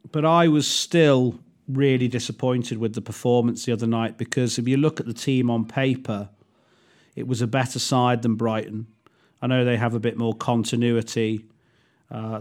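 A man hosting a casual football fan podcast, leading the chat in a measured, thoughtful way. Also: mid-range voice, a little mellow. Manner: measured, thoughtful, leads discussion. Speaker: podcast host.

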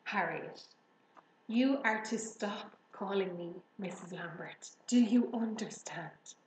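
A woman's voice: mid-range voice, slow, darkish tone, roomy sound, a little hiss or hum, close to an everyday voice, rough recording. A woman reading a storybook, voicing a kindly, polite and friendly adult woman.

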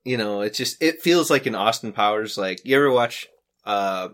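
A man co-hosting a podcast in casual comedic chat, telling stories in an animated way and laughing often. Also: lowish voice, moderate pace. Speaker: podcast co-host. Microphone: desk USB condenser mic.

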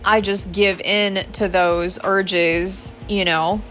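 A young woman talks through her feelings in a loose, rambling solo podcast, full of fillers and casual swearing. Her pitch sits in an ordinary middle range but barely moves, which makes her delivery flat. Faint music plays quietly underneath. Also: takes it slowly. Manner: earnest, spiritual self-talk. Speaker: podcast host. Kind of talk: casual solo venting monologue.

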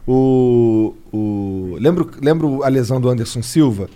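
A man's voice: medium pitch, expressive, a little slow, dark tone. A man with a Brazilian accent hosting a podcast in laid-back, slangy chat, swearing freely. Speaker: podcast host.

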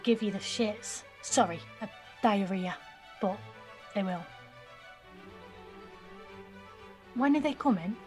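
An actress playing a blunt, cheeky, streetwise nineteen-year-old woman who talks in clipped slang with a slightly husky voice in an audio drama. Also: medium pitch, expressive, measured pace, darkish tone, soft background music, close to an everyday voice. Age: young adult. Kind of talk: naturalistic audio drama dialogue.

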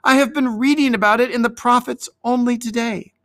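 A man's voice: mid-range voice, huge pitch swings, takes it slowly, sharp tone, no echo, studio quality, exaggerated voice. A man voicing an eager, hot-headed young shepherd boy in an earnest, dreamy storybook manner.

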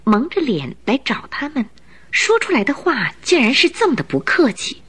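A woman narrating a radio drama in an expressive, scripted storytelling style. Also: high-pitched, normal speed, breathy edge.

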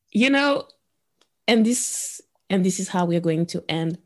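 Slow-speaking woman podcast host from Guadeloupe. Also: fairly low pitch. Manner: slow, attentive, frequent murmured agreement. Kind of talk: podcast interview.

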